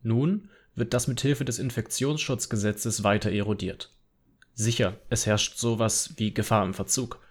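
A man's voice: on the low side, fairly even intonation, moderate pace, close to an everyday voice. A man playing a critical, opinionated social media commenter in a radio drama collage. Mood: critical, serious.

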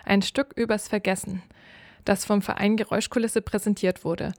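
A woman's voice: mid-range voice, steady tone, normal speed, darkish tone, no room sound. A woman reading scripted narration for a radio feature as its reporter.

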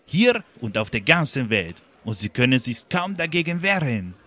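A man's voice: medium pitch, very animated, normal speed, bright, forceful tone. A man playing an indignant, worldly-wise talking banana in a political radio play, lecturing emphatically and repeating words for effect. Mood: lecturing, outraged.

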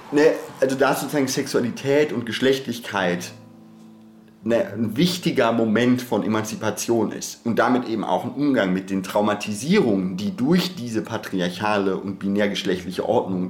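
Low-voiced man, academic and digressive, roomy. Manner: academic, wordy, digressive with frequent self-interruptions. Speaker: podcast speaker. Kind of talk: unscripted podcast discussion.

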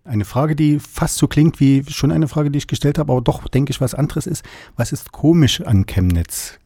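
Male radio interviewer, mellow tone, slightly husky, calm questions. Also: fairly high pitch, normal speed, dry recording, studio quality.

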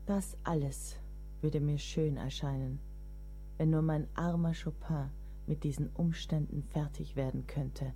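A woman's voice: low voice, fairly even intonation, unhurried, mellow, slight background noise. A woman acting a devoted, burdened writer caring for her ailing lover in a German radio play, grave and literary in delivery. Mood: troubled. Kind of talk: German audio drama acting, literary monologue. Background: music.

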